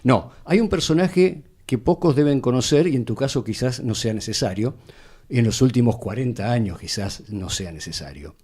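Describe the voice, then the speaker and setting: A man's voice: medium pitch, lively intonation, moderate pace, darkish tone, husky. An Argentine man, a radio actor, explaining things didactically in conversation with short tag questions.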